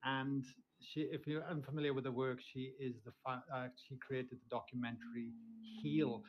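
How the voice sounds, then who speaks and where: A man's voice: mid-range voice, little pitch movement, moderate pace, noisy background. Man introducing a podcast, lo-fi sound. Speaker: podcast host. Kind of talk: episode introduction. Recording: dull lo-fi audio.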